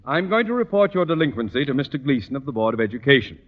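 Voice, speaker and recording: An actor playing a pompous, blustering middle-aged school principal in old radio comedy style, sarcastic and theatrical. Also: male voice, mid-range voice, very animated, quick pace. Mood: smug. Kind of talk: 1940s-50s radio comedy acting. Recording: muffled old-time radio recording.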